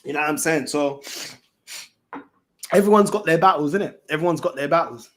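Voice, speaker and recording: A man's voice: on the high side, a little fast. A man with a London accent chatting casually as a livestream host, in a slangy, repetitive, emphatic way. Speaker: fan channel host.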